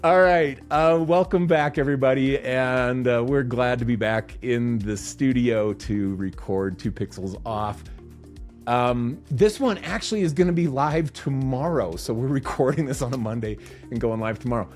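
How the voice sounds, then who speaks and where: A man's voice: mid-range voice, normal speed, a little mellow, faint music behind. A man co-hosting a podcast in a studio, chatting casually and joking, often laughing. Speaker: podcast co-host. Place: recording studio.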